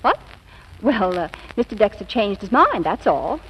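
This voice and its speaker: An actress playing a sharp-witted, possessive secretary in a 1940s radio comedy, with a brisk, arch, scolding way of talking. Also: female voice, medium pitch, very animated. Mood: brisk, pointed. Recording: noisy, hissy old radio broadcast.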